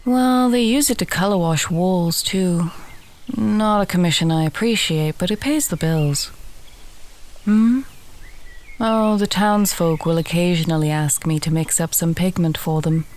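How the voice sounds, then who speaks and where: A woman playing a friendly, teasing village alchemist in a fantasy audio roleplay, talking gently and conversationally to the listener. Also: lowish voice, unhurried, close to an everyday voice. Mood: wry, chatty. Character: friendly female village alchemist, warm, teasing, earthy, encouraging.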